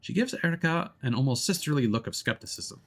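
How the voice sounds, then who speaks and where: Read as a male streamer narrating a story game aloud, expressive and theatrical, slipping into casual asides between passages. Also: mid-range voice, fast. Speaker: streamer playing a visual novel.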